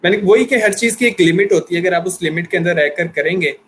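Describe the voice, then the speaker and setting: A man's voice: high voice, fast talker, fairly bright tone, breathy edge. A man with a Pakistani Urdu accent, a guest on an online discussion, explaining his points with examples over a video call. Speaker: guest, YouTuber and anchor.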